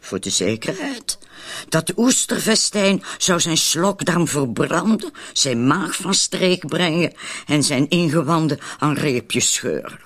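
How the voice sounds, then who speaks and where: An actor playing a wary, needling woman in a radio drama, with a husky voice. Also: a little high, sing-song, slow, fairly bright tone, exaggerated voice. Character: wary, needling woman named Marilyn, accomplice in poisonings.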